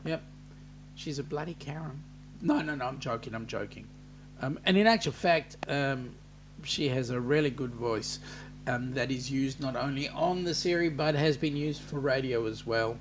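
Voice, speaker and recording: An Australian man hosting a casual live-stream chat, reading viewer comments aloud in an unhurried way with plenty of ums. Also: medium pitch, slight background noise.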